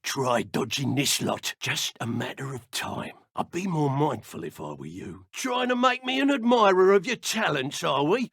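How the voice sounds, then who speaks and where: A woman playing a smug, condescending dark witch in a video game duel, with a British accent and mock-sweet taunts. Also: low-pitched, big pitch swings, moderate pace, hardly any pauses, slightly rough, no echo, clean studio sound, full character voice. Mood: smug taunting. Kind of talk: video game combat voice lines.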